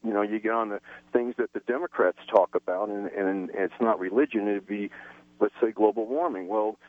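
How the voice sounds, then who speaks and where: Man, radio caller, low voice, rambling, hesitant, phone line sound. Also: moderate pace, no echo. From New Mexico, USA. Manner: rambling, hesitant, many restarts. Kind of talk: call-in radio conversation.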